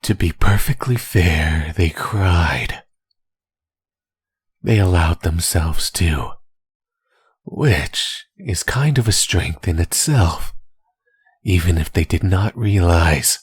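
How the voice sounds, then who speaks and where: A man playing a menacing, tormented villain spirit possessing someone's body, in a husky, brooding, deliberate monologue for an ASMR audio roleplay. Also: expressive, dry recording, full character voice. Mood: brooding, controlled. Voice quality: husky, breathy low voice. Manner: slow, deliberate, brooding monologue with dramatic pauses.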